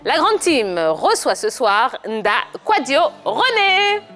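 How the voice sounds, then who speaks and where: A woman's voice: high voice, big pitch swings, slow pace, music in the background. A woman hosting a football talk show, cheerful and playful. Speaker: TV host.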